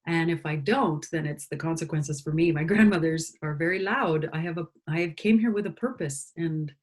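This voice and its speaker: A woman's voice: sits low, normal speed. A woman from Canada, a singer-songwriter and interview guest, speaking earnestly and with conviction. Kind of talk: reflective conversation.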